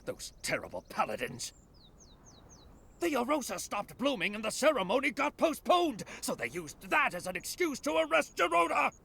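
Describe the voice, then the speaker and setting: An actor voicing an excitable, scheming, bookish sprite uncle in a video game, with a dramatic, indignant manner. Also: medium pitch, normal speed, vocal fry, slightly rough, exaggerated voice. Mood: indignant outrage. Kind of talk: theatrical video game voice acting.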